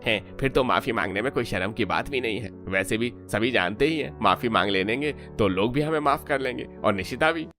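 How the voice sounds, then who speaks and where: A man's voice: medium pitch, brisk, hardly any pauses, fairly bright tone, faint music behind, close to an everyday voice. A man playing a cheeky, opportunistic local trader in a cartoon. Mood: conniving. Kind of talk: scripted cartoon dialogue.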